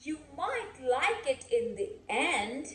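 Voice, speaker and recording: A woman hosting a children's storybook read-aloud, expressive and playful, with coaxing questions. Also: high voice, slow. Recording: echoey room.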